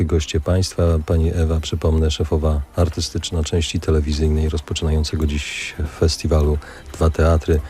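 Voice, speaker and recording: A man's voice: rumbling low, mellow. A man hosting a live radio interview in a conversational presenter's style. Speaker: radio host.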